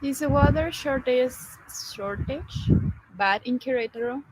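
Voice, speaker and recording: A woman from Mexico, a native Spanish speaker, with a Mexican accent, speaking softly and haltingly as co-host of a casual live Q&A. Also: high voice, heavy background noise. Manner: soft-spoken, speaks haltingly, giggles. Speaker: co-host of a travel channel live stream.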